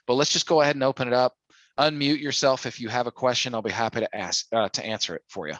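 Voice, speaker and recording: A man's voice: mid-range voice, quick pace, a bit bright, no room sound. A man hosting an informal sales training call, talking persuasively and using plenty of rhetorical questions. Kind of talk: informal sales coaching talk.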